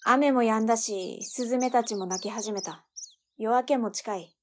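A woman playing a tough, brash gang boss in a Japanese audio drama, with rough, cocky, masculine wording. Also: a little high, normal speed, a little mellow, slight background noise, natural voice. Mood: cocky, businesslike.

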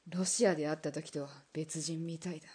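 A woman's voice: steady tone, fairly quick, darkish tone, husky. A woman playing a defiant Russian ex-officer in a radio drama, blunt and challenging. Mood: subdued. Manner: blunt, challenging, low and clipped. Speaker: former Russian imperial soldier. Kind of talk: hobby radio drama acting.

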